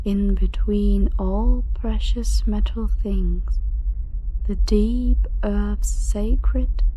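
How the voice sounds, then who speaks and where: A woman voicing an ancient, solemn, soothing dragon in a slow, breathy whisper for an ASMR sleep roleplay. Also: mid-range voice, fairly even intonation, warm tone, a little hiss or hum, low-quality recording. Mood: soothing, hypnotic. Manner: slow, hypnotic, solemn poetic delivery.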